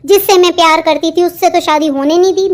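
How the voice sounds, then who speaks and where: A woman's voice: very high-pitched, fairly even intonation, quick pace. A woman voicing a sulky, defiant young bride in a melodramatic Hindi cartoon, talking back petulantly about her forced marriage. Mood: sulky, upset.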